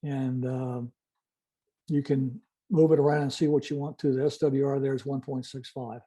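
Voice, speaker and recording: An older man giving an informal technical presentation and demonstration, explaining methodically in a halting way. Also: medium pitch, little pitch movement, mellow, low-quality recording. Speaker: club presenter, retired-style veteran telephony engineer.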